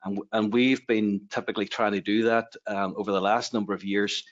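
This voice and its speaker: Irish male lecturer, lowish voice, explanatory panel delivery, slightly muffled online audio. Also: little pitch movement, brisk. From Ireland. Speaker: university lecturer, webinar panelist. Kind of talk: explanatory presentation. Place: online webinar. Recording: dull, lo-fi webinar audio.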